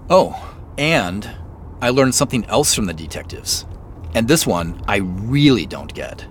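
A man's voice: lowish voice, sing-song, a little slow, slight background noise. A man acting in an audio drama as a suspicious, on-edge man investigating a mystery, with a dry, guarded manner. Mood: guarded, wry. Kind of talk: naturalistic audio drama dialogue.

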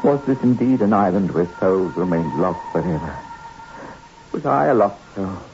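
An actor playing a distraught male castaway in shock, halting and dramatic, in an old radio horror drama. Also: low voice, animated. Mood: awed, brooding. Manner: halting, dramatic, swinging from brooding to pleading. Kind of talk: 1960s radio horror acting. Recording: muffled, noisy old radio recording.

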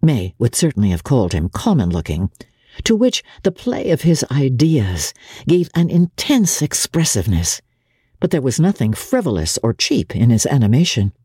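A woman reading a classic novel aloud as dramatic narration, with measured, expressive storytelling. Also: a bass voice, slightly rough, no room sound, clean studio sound. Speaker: audiobook reader.

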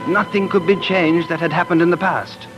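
A male actor as a troubled young gentleman lover in a 1940s radio play. His voice sits a little high, halting and earnest in its dramatic delivery. Music plays clearly beneath him on the vintage broadcast. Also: fairly even intonation, highs cut off, natural voice. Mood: brooding.

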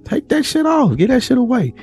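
A man co-hosting a podcast, chatting loosely in slang and working through his points with pauses and restarts. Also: very high voice, very animated, darkish tone, faint music behind. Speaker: podcast co-host. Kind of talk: casual chat.

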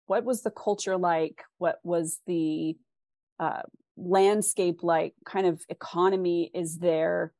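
A woman's voice: fairly low pitch, fairly even intonation, slow, mellow, no room sound, clean studio sound. A woman with a West Coast American accent, explaining her ideas thoughtfully and articulately as an interview guest, often posing questions as she goes. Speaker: guest author.

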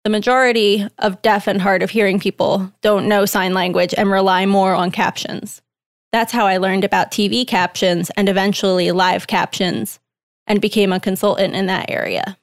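A woman reading a guest's typed interview answers aloud in a measured, plain way. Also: medium pitch, steady tone, no echo, studio quality.

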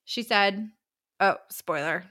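A woman's voice: medium pitch, takes it slowly, bright, forceful tone. A woman hosting a book podcast, chatting casually in a slangy, exclamatory way. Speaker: podcast host.

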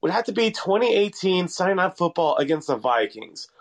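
A man's voice: high voice, big pitch swings, brisk. A man talking casually as a fan guest on a sports call-in show.

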